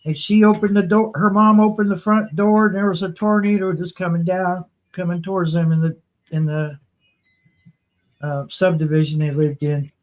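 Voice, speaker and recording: Folksy man with a slightly high voice. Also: little pitch movement, moderate pace, dull audio. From Ohio, United States. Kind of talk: casual anecdotal storytelling.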